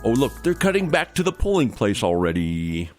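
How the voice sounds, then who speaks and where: A man's voice: medium pitch, sing-song, normal speed, music in the background, close to an everyday voice. Man playing a blustering, self-satisfied crooked politician. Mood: irritated. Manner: blustering, indignant. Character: pompous, corrupt, self-satisfied male senator candidate. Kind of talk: comedy radio theater acting.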